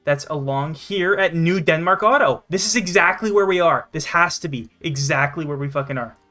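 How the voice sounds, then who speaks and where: A young man giving excitable, sweary, casual commentary as he plays a game. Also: on the high side, moderate pace, soft background music. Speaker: gaming channel host.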